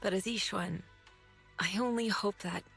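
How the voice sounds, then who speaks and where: A tender wise teacher in a game, breathy, unhurried, mid pitch. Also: female voice, fairly bright tone, faint music behind, exaggerated voice. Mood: proud, tender. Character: composed, tender female martial mentor. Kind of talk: video game voice acting.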